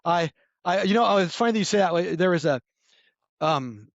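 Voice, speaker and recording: Guest spot: raspy fifties man, rapid rambling storyteller, dry dull sound. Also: high-pitched, lively intonation, dry recording. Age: 50s. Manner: rambling, many restarts and self-corrections. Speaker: podcast guest. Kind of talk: casual storytelling chat.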